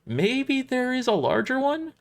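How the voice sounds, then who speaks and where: A man's voice: extremely high pitch, sing-song, measured pace, gravelly, pitched above the performer's own voice, full character voice. A man voicing a bold, stubborn and loyal lady fighter in an audio drama, speaking informally and brashly. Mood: awkward.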